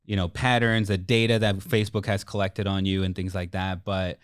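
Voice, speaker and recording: Male podcast co-host, lower register, crisp tone, informal coaching style, dry studio-like sound. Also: flat delivery, brisk, no echo. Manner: informal, explanatory, addresses listeners directly. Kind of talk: casual business podcast conversation.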